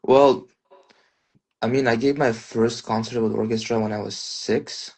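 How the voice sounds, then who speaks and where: A man's voice: mid-range voice, fairly even intonation, measured pace, darkish tone. A man in his twenties from Uzbekistan, a native Russian speaker, chatting easygoing as a guest pianist over a phone live stream. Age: 20s. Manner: easygoing, self-deprecating, filler-heavy. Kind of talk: casual interview chat.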